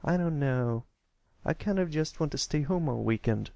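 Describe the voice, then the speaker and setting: A timid little cartoon colt, as a male narrator plays him, reluctant and a bit sad. He speaks in a slightly raised pitch, hesitant and stammering. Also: expressive, moderate pace, vocal fry, dark tone, no echo, muffled recording.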